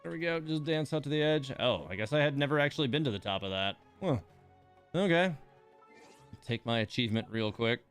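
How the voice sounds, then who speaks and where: Male game streamer, smooth clear delivery, casual and rambling, self-deprecating, light music underneath. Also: medium pitch, animated, brisk. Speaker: game livestreamer, host. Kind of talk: casual livestream chat while playing a game.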